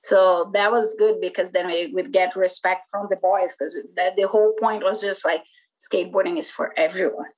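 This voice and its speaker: A woman's voice: fairly low pitch, fairly even intonation, normal speed, rough recording. Brazilian-accented woman in her forties, chatty. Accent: Brazilian Portuguese. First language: Portuguese. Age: 40s. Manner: rambling, many fillers like "like" and "you know". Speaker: skateboarder and former magazine publisher. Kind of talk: casual interview conversation.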